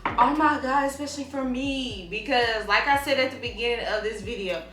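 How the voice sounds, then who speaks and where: A woman in her thirties hosting a casual chat, animated and slangy, laughing often. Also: on the high side, fairly quick, slight background noise. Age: 30s. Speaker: host. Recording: roomy sound from a distance with music.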